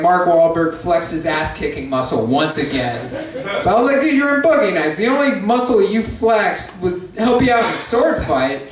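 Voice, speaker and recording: A very high-voiced man rants through a profane stand-up set, laughing at his own jokes. His voice rings through a hugely echoey pub and comes from well off the mic. A lively crowd is heard behind him. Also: distant mic. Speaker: stand-up comedian and guest host. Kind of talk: live stand-up comedy.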